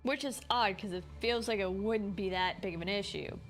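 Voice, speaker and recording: A woman's voice: medium pitch, moderate pace. A woman streaming a video game, commenting casually and informally as she thinks aloud. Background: game music.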